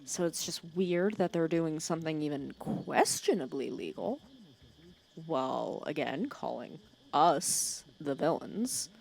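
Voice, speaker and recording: A woman's voice: low voice, lively intonation, measured pace, heavily acted. A woman playing a wry, bossy but caring female villain who fusses over her hero nemesis in an intimate ASMR roleplay. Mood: wry, sardonic. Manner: intimate, wry, fussing and bossy with soft teasing. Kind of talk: ASMR roleplay acting, one side of a conversation. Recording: clear cricket and insect ambience behind. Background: crickets and outdoor night insects.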